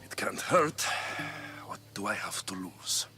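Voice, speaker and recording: An actor playing a young, sardonic mercenary in a video game, dry and gruff. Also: male voice, sits low, sing-song, a little slow, sharp tone, soft background music, heavily acted. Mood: resigned. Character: weary, sardonic young male Greek mercenary. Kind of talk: video game cutscene acting.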